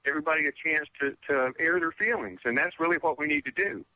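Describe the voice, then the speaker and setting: A man's voice: a little high, fast, bright, forceful tone, no room sound, low-quality recording. A man in his sixties calling in to a talk radio show, ranting emphatically and stammering over his words. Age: 60s. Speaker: caller. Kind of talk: phone-in talk radio call.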